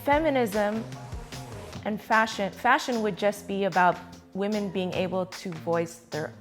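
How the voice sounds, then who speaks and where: A woman's voice: mid-range voice, unhurried. A woman in her late thirties from Southern California, speaking reflectively in an interview. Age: late 30s. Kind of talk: candid sit-down interview. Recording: music bed behind voice.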